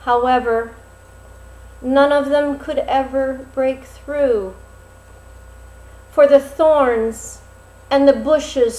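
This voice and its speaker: Slow, high-voiced woman reading a fairy tale. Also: a little mellow, reverberant, poor audio. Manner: dramatic, deliberate phrasing with pauses. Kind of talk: expressive storybook narration.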